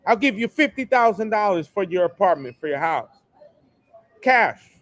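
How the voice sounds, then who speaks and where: A man voicing a pushy male buyer who keeps pressing cash offers, in a higher, insistent tone. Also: sing-song, normal speed, a bit bright, dry recording, studio quality. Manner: insistent, repetitive.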